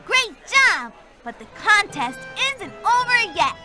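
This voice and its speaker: A woman's voice: very high voice, very animated, takes it slowly, full character voice. A woman voicing a cheerful young explorer girl who talks directly to the player in a children's game, with game music behind. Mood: encouraging. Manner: bright, encouraging, speaks to the player. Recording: game audio over clear music.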